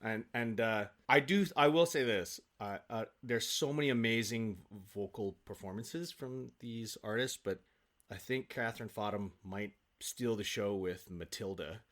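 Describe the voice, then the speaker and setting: A man speaking as an actor guest in a casual podcast conversation, effusive and animated in his storytelling. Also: fairly low pitch, measured pace.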